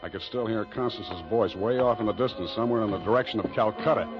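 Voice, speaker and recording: A man's voice: fairly low pitch, fast, lightly acted. An actor playing a tough, wry male private detective in a 1940s radio drama, with dry, clipped storytelling. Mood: tense, wry. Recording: muffled 1940s radio recording, music behind.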